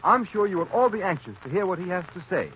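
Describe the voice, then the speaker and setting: Male vintage radio announcer, slightly high, lively inflection, formal scripted sales delivery. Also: quick pace. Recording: muffled, noisy 1930s radio recording.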